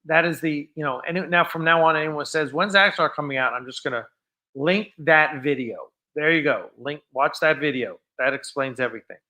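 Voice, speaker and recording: Bright-voiced man hosting a casual livestream. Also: a little high, normal speed. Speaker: live stream host. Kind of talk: casual unscripted live stream chat.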